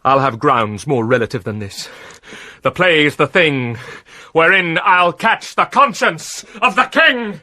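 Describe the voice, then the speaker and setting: An actor with a British accent playing an anguished, self-loathing young prince in a theatrical Shakespearean soliloquy, breathy and brooding. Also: male voice, on the high side, animated, a little slow, dry recording, heavily acted. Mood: scheming intensity. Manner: brooding, swinging from hushed musing to ranting.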